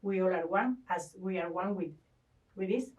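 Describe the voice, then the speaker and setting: A woman's voice: on the low side, moderate pace, darkish tone, distant mic, highs cut off. A woman from Ecuador, a guest talking earnestly about spirituality, often stopping to search for words. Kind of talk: spiritual conversation.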